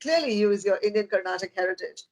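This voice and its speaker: A woman's voice: medium pitch, expressive, quick pace. A woman radio host interviewing a guest, encouraging her with frequent agreement. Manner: encouraging, frequent "yeah" and "right". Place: radio studio.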